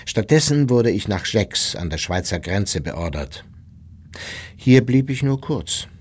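An ageing former war officer in a radio documentary monologue. The voice is fairly low and husky, moving animatedly up and down. He is blunt and self-justifying, without any remorse. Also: sing-song, takes it slowly, a little mellow, heavily acted. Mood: matter-of-fact.

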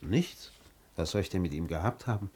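An actor in a German radio play voicing a mild, courteous, educated doctor with a husky voice and a polite, reserved manner. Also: male voice, low voice, animated, a little fast, a little mellow, exaggerated voice. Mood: puzzled, mild. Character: mild, courteous, unsuspecting gentleman doctor of learning.

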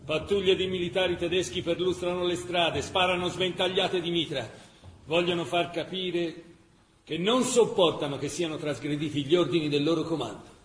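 A man's voice: a little high, steady tone, normal speed, lightly acted. A man narrating a wartime chronicle for a radio play, declamatory and emphatic. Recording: echoey room with faint background noise.

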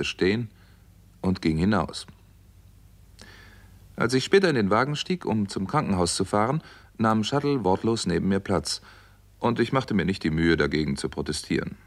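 A man acting an indignant, determined American doctor and amateur sleuth in a 1960s German radio crime play, speaking earnestly and emphatically. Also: low voice.

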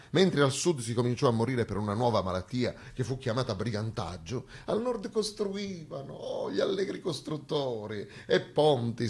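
A man's voice: medium pitch, slightly rough. A man performing a satirical theatre monologue, conversational but emphatic, full of sarcastic asides and rhetorical questions. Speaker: stage actor performing a monologue.

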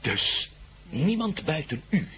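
A man's voice: on the high side, sing-song, slow, crowd noise. A man acting in a Dutch radio drama as an embattled, urgent and baffled adventurer hero. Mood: agitated, disbelieving.

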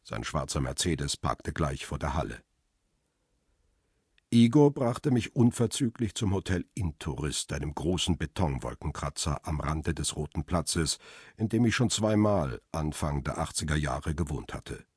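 A middle-aged, world-weary photographer narrating a radio crime thriller in the first person. The voice is very deep and rough-edged, closely and dryly recorded, with a sober, laconic delivery. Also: male voice, expressive, a little slow, darkish tone, no room sound, clean studio sound, full character voice. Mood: sober, observant.

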